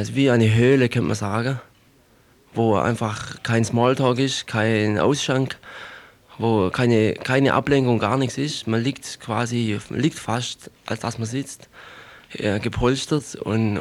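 A man, a musician, answering as a guest in an unscripted radio interview, reflective and wordy, with hesitant, halting phrasing. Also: medium pitch.

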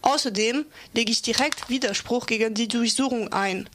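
A woman's voice: a little high, normal speed, a bit bright, natural voice. A woman acting an assertive activist in an amateur radio play, speaking firmly and curtly with defiance. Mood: firm, defiant. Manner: firm, curt, defiant. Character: Anna, assertive young activist woman facing a police search. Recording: noisy amateur recording with clicks.

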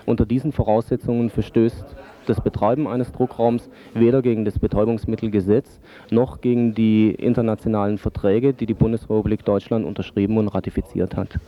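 A man giving formal, measured explanations as an expert guest in a radio interview, with dull lo-fi sound. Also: fairly low pitch, flat delivery, warm tone. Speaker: drug-aid expert guest.

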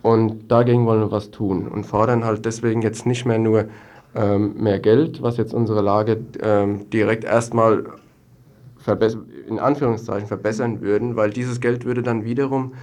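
Young man speaking in flat, halting phrases. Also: lowish voice. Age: young adult. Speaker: student activist guest. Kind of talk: spontaneous interview answers.

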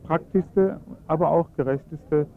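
A man's voice: a little high, creaky. Earnest German man on tinny tape. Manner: earnest, measured explaining with frequent hesitations. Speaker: Esperanto advocate. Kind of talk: radio interview.

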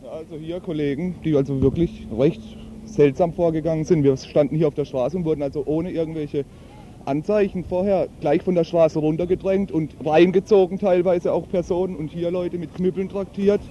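Male street witness, very dark timbre, unscripted account, dull lo-fi outdoor sound. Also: fairly high pitch, fairly even intonation, moderate pace, slight background noise. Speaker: eyewitness at a demonstration. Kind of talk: spontaneous street interview.

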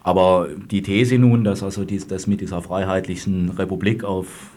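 A man speaking as a lawyer in a radio panel discussion, deliberating haltingly as he goes. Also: sits low, flat delivery, a little mellow. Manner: halting, deliberating with hesitant pauses.

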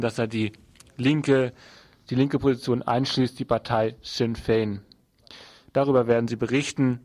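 A man with a medium-pitched voice presents event announcements on the radio. He is informative and explanatory, occasionally halting as he looks for words. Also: darkish tone.

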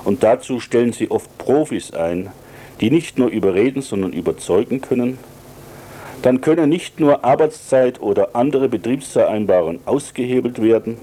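A man's voice: medium pitch, lively intonation, normal speed, warm tone. A man talking in an explanatory way about serious matters, recorded with some background noise. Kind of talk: explanatory political talk.

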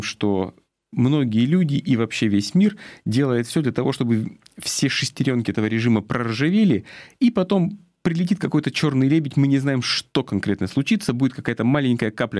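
A man giving political commentary off the cuff, earnest and deliberate, stressing his key words. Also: medium pitch, darkish tone, no echo, studio quality.

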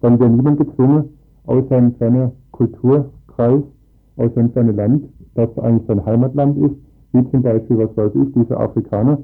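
A man's voice: medium pitch, fairly even intonation, moderate pace. A man taking part as a resident in an informal radio discussion, arguing emphatically with repeated, piled-up phrases. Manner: emphatic, repetitive, argumentative. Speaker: interviewed local resident. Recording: tinny narrowband archive radio recording.

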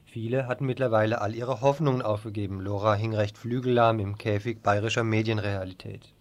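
A man's voice: on the low side, fairly even intonation, brisk. A man working as a radio news presenter, reading reports and introductions from a script.

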